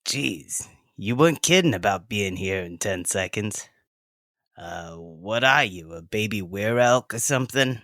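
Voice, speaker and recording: A woman's voice: extremely low pitch, expressive, measured pace, a bit bright, exaggerated voice. A woman playing a blunt, casual young half-orc assistant with a brash, informal manner in an audio drama. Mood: startled, blunt. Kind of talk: scripted audio drama dialogue.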